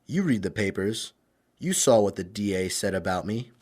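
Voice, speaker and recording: Guy acting a sly masked vigilante, radio crime drama, lowish voice, clipped and in charge. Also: lively intonation, normal speed, darkish tone. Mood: sly, commanding. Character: confident masked male vigilante posing as a crime boss.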